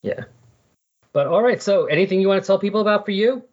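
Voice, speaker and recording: Quick-talking man, casual podcast host. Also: high-pitched, expressive, dull audio. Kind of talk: casual chat about comic books.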